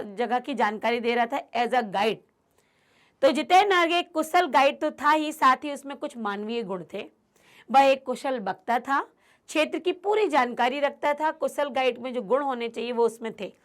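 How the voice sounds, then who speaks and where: Woman teacher, middle-aged, high pitch, patient explainer for exam revision. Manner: explains and repeats points for students.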